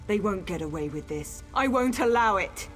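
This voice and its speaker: An actress playing a grieving, furious young dark elf woman in a fantasy video game, intense and bitter. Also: medium pitch, animated, normal speed, faint music behind, close to an everyday voice. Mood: vengeful.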